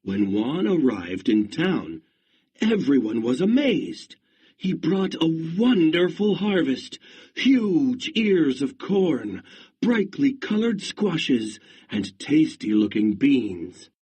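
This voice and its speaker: A man narrating a storybook read-along for young students, slow and expressive. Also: a little high, husky.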